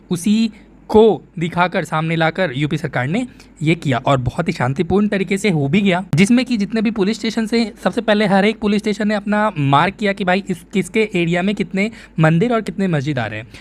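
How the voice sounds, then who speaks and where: An Indian man presenting an informal news explainer, conversational, repeating phrases for emphasis and answering his own questions. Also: high-pitched.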